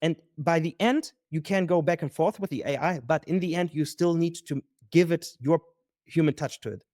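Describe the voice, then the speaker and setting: A man answering as a digital marketing expert on a podcast. He explains in a measured way, working through examples with a few "uh" pauses. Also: a little high, a little mellow, no echo, clean studio sound.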